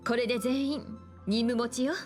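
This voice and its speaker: An actress playing a composed, caring woman navigator in an anime-style game, guiding gently. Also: fairly high pitch, fairly even intonation, fast talker, soft background music, lightly acted. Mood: gently encouraging. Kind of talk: Japanese anime game voice acting.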